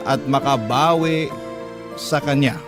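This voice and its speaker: A man's voice: medium pitch, slow, over loud music, close to an everyday voice. A man with a Filipino accent giving earnest, sentimental scripted narration of a dramatic first-person story.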